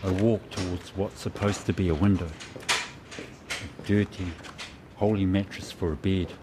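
Very deep-voiced man reliving boyhood. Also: steady tone, a little slow, noisy background. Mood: tense dread. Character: man reliving his frightened boyhood in state custody. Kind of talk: radio drama narration in present tense.

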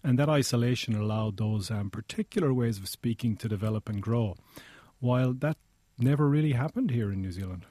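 An Irish man being interviewed in a studio, explaining things in a measured way. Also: mid-range voice, mellow, no room sound. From Ireland. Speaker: interview guest, journalist. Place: radio studio.

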